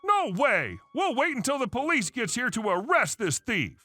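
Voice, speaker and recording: Man doing a high, shrill, bossy entitled mom, lively and accusing, in a storybook narration. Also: moderate pace, soft background music, heavily acted. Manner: indignant, bossy. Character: loud entitled middle-aged mother, accusing and shrill.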